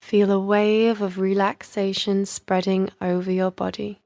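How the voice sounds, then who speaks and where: A woman leading a guided yoga nidra relaxation as a teacher, speaking in a soothing, measured, instructional manner with gentle pauses. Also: medium pitch, little pitch movement, vocal fry, dry recording, clean studio sound.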